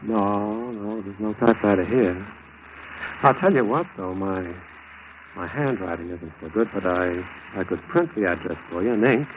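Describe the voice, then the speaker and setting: An actor playing a mild, obliging German-born spy posing as a loyal citizen, in 1940s radio style on a noisy old recording. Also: male voice, lowish voice, normal speed, lightly acted. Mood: affable, obliging.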